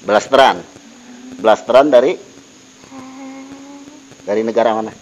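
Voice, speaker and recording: A man's voice: lowish voice, unhurried, a little mellow. A man, questioning someone in an unscripted paranormal investigation, repeating questions and echoing back answers. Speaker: host questioning a supposedly possessed person. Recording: noisy lo-fi field recording with insects.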